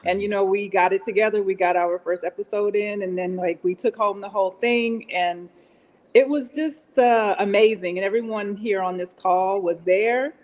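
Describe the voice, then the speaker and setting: A woman guest telling a story casually in an interview, animated and acting out quoted remarks. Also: medium pitch, normal speed, rough recording. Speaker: executive producer and actress, interview guest.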